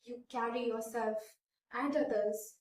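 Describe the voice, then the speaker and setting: A woman's voice: fairly high pitch, fairly even intonation, measured pace, roomy sound, distant mic. A woman in her twenties from India whose first language is Hindi, earnestly answering interview questions with many fillers and restarts. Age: 20s.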